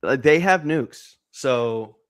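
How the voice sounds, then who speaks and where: A man's voice: mid-range voice, slow. Hyped-up guy in his 20s talking card breaks, rambly, lots of ums. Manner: excitable, energetic, rambling with many fillers. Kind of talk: casual chat on a stream.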